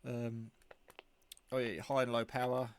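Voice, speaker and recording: A man giving a casual, off-the-cuff product demo, rambling in a low-key way as he thinks aloud. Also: little pitch movement, a little slow, slight background noise. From England. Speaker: hobbyist reviewer.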